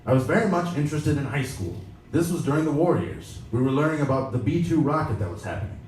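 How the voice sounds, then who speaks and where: A man's voice: medium pitch, a little mellow. Man reading aloud in a very echoey room. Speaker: editor giving a reading. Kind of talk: live reading of an interview with casual asides. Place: indoor live pop-up event at a venue. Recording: echoey, noisy room, distant mic.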